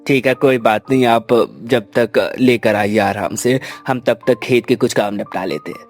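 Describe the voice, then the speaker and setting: A man's voice: mid-range voice, fairly even intonation, moderate pace, soft background music, lightly acted. A man voicing a dutiful, caring adult son in a Hindi cartoon village story, with earnest, dramatic delivery. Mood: calm, helpful.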